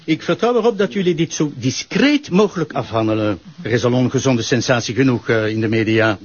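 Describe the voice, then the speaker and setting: An actor with a Flemish accent playing a brisk, commanding prosecutor in a radio play. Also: male voice, medium pitch, lively intonation, natural voice. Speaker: public prosecutor. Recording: dull lo-fi radio recording.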